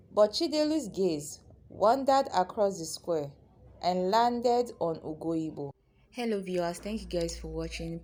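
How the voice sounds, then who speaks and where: A woman storyteller narrating a scripted folktale in a dramatic, expressive way. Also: on the low side.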